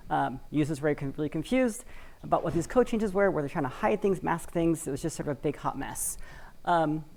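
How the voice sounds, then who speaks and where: Woman from washington state, low voice, gravelly, casual conference speaker, lots of ums and sort-ofs. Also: animated, brisk, darkish tone, a little hiss or hum. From Washington State, USA. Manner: conversational, many fillers and hedging words. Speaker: conference speaker for a community cooperative. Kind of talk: live conference talk. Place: conference stage, in front of an audience.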